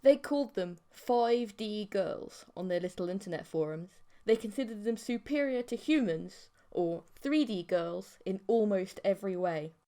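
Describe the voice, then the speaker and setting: A woman's voice: mid-range voice, darkish tone. Woman narrating an eerie story, theatrically. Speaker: audiobook narrator. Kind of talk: scripted dramatic story narration.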